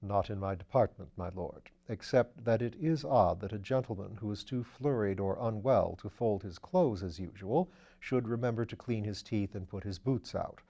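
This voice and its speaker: Man voicing formal manservant, deferential, dry, low, soft, audiobook. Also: normal speed, gravelly.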